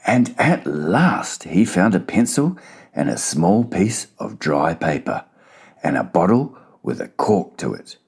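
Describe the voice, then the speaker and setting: A man's voice: low-pitched, big pitch swings, slow pace, vocal fry, darkish tone, husky. A man narrating a children's storybook as a dramatized audiobook.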